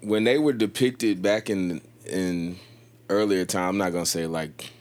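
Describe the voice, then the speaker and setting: A man in his thirties, a podcast guest, telling a story in casual conversation in a thoughtful, measured way. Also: sits low. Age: 30s.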